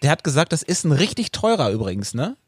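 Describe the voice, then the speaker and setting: A man in a casual football chat, quick, chatty and teasing. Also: a little high, sing-song, breathy edge, no echo, studio quality. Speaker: podcast panelist.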